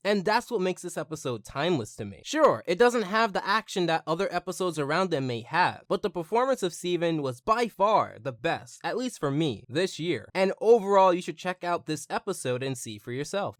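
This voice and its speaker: A man with a fairly high voice hosts a scripted countdown review. His delivery is very animated and opinionated, with enthusiasm in the phrasing. The sound is dry, with no room around it. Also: dry recording. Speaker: host of a cartoon review channel. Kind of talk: scripted top-ten countdown commentary.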